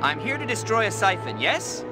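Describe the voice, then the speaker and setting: A man's voice: high-pitched, huge pitch swings, normal speed. A man playing a plucky, wry little round video game hero with a breezy manner. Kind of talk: video game cutscene acting. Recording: loud music behind the voice.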